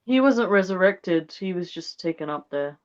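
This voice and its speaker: Casting: female co-host, Bengali is her first language, quick, brief replies, sound slightly muffled and lo-fi. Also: fairly low pitch, expressive. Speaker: podcast co-host who recites scripture. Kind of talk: casual chat with recitation.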